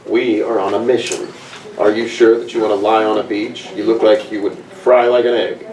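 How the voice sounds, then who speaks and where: A man's voice: lowish voice, flat delivery, normal speed, lightly acted. A man playing a serious, duty-bound space ranger leader in a dry, matter-of-fact way at a table read. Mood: dry, stern. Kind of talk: informal table read of a sci-fi script. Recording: echoey room, distant mic, clicking noise.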